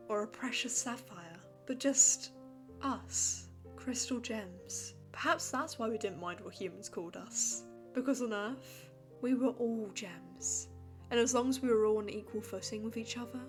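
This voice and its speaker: Woman acting fussy alien gem lady, highish voice, formal, didactic, cartoon dub, faint music. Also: unhurried, lightly acted. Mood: wistful. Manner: formal, didactic, exasperated lecturing. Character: Pearl, fussy, precise, lecturing female alien gem.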